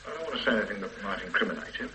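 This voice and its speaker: An actor playing a hesitant, uneasy grown son being questioned in a radio drama. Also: male voice, on the low side, expressive, very fast, bright, forceful tone, slight background noise, poor audio.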